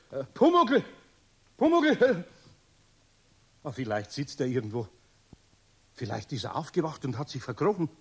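Flustered older Bavarian carpenter, husky, swoopy. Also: male voice, on the high side, exaggerated voice. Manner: muttering to himself, hesitant, exclaiming. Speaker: lead actor in a radio play. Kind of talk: children's radio play acting.

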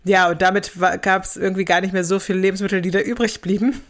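A woman's voice: medium pitch, flat delivery, fast. A young woman casually recounting her own experience as an interviewee, colloquial, with bits of English slang. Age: young adult. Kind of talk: casual spoken recollection.

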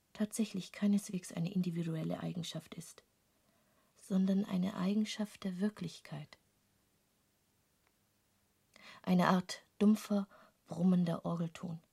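An actress in a literary radio play playing an intense, searching woman painter, speaking intimately and deliberately in a breathy, creaky half-whisper. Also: on the low side, dark tone, heavily acted. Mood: yearning, intense. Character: intense female painter hungry for forbidden knowledge, musing.

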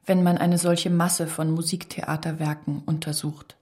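Low-pitched woman reading literary prose. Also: level tone, a little slow, warm tone. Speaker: reader of a literary radio text. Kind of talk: scripted literary narration.

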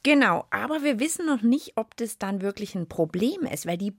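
A woman's voice: medium pitch, animated, normal speed, no room sound. A woman hosting a children's science podcast in a chatty, colloquial, casual way. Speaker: podcast host.

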